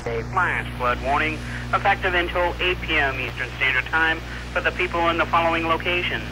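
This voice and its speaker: A man announcer on weather radio, reading scripted forecasts and warnings in a formal, measured way. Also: on the high side. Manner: formal, measured bulletin delivery. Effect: radio broadcast. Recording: noisy 1990s weather radio broadcast with hum.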